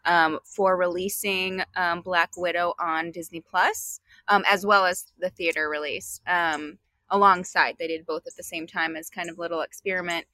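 A young adult woman co-hosting a podcast from outdoors, talking casually with lots of fillers. Her mid-pitched voice is bright and clear. The sound is dry and close to the mic. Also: moderate pace, dry recording. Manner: chatty, with many fillers, relaxed. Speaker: podcast co-host. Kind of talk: casual chat.

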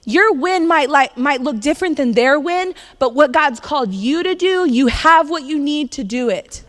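A woman's voice: high voice, lively intonation, normal speed. A woman in her thirties preaching a sermon in a conversational, upbeat way, laughing now and then. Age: 30s. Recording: long hall reverb.

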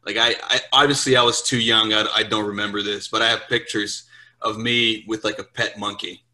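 Young man, bright voice. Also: on the low side, steady tone, brisk. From Brazil, later Portugal, England and Louisiana. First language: Portuguese. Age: 20s. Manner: informal, swearing, checks in with "right?". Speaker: podcast guest, US Marine sergeant. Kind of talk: casual interview chat.

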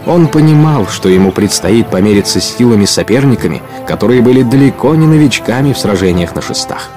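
A male narrator tells an adventure story for a radio play. His voice is on the low side, expressive and literary, with music underneath. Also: fairly quick.

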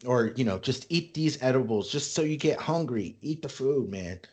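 A middle-aged man with a voice of ordinary pitch, co-hosting a podcast. He speaks bluntly and earnestly and swears casually. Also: expressive, normal speed, darkish tone. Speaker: podcast co-host. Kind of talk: casual chat.

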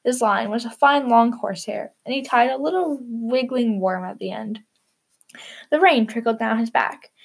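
Fairly high-pitched woman reading a children's story. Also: moderate pace, gravelly, breathy edge.

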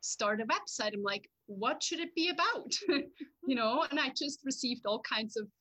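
A woman's voice: high voice, animated, normal speed, sharp tone, low-quality recording. A woman from Switzerland, a podcast guest, chatting casually and laughing often over a video call. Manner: laughs often, talkative, thinks aloud. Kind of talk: casual chat.

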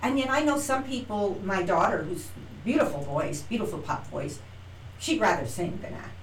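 A middle-aged woman, an actress and acting teacher, telling her story as an interview guest, animated and acting out quoted lines with frequent restarts. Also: mid-range voice, normal speed. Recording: roomy, distant from mic, faint noise.

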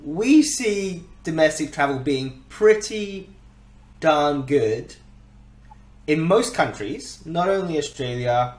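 A man's voice: a little high, lively intonation, slow pace. A man hosting a podcast in a casual discussion, emphatic and thinking aloud in rhetorical questions. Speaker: podcast host. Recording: roomy, echoey sound.

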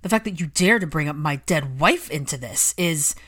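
A woman's voice: deep voice, animated, normal speed, fairly bright tone, slightly rough, heavily acted. Woman acting cold, furious, defensive proud man.

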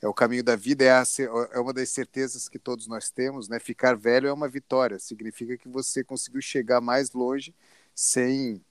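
A man's voice: mid-range voice, little pitch movement, brisk, no room sound. A man with a Brazilian accent in a reflective panel discussion, searching for his words as he goes.

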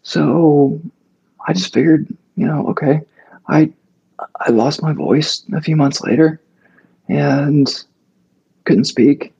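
Husky gravelly man telling a story. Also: fairly high pitch, slow pace, pauses often, dark tone, dull audio. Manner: rambling, many fillers and restarts. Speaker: actor, guest. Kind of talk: casual interview storytelling.

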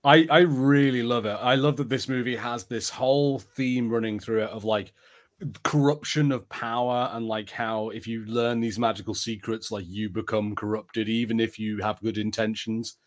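A man's voice: lowish voice, fairly even intonation, moderate pace. A man co-hosting a podcast, chatting casually about films with an enthusiastic, conversational way of telling things. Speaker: podcast co-host. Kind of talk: casual review chat.

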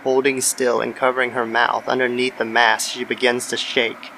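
A man narrating a scripted horror story in a measured, somber manner. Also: mid-range voice, little pitch movement, vocal fry, bright, forceful tone, slight background noise, rough recording. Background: music.

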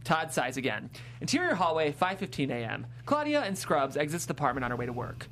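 Animated female script narrator. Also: deep voice, a little fast. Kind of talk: reading screenplay stage directions.

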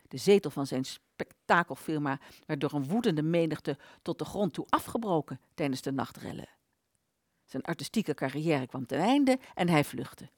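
A woman in a Dutch radio play voicing an aborted idea, a bitter vanished thought, telling its tale dramatically with slow emphases. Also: sits low, no echo, studio quality.

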